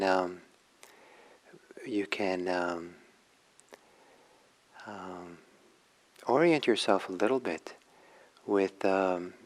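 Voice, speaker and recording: A man giving a guided meditation talk as a teacher, reflective and halting, with frequent pauses. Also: lowish voice, a little mellow. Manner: halting, reflective, pausing often.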